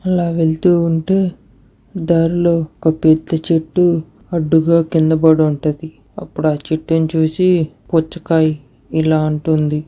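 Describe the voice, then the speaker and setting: Casting: female storyteller for kids' moral tales, husky timbre, little pitch movement. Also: completely flat delivery, measured pace, warm tone. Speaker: narrator. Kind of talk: scripted children's story narration.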